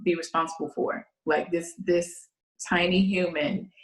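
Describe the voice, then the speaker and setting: A woman's voice: on the low side, a little slow. Chatty woman in her thirties, echoey room. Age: 30s. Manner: animated storyteller, chatty and expressive. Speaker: podcast guest. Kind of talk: casual chat.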